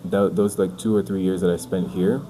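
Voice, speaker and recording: A man's voice: sits low, normal speed. A man in his twenties from the UK, a podcast guest talking casually and loosely, full of "like" and "bro". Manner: relaxed, rambling, says "like" and "bro" a lot. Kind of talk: casual chat. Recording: noisy background.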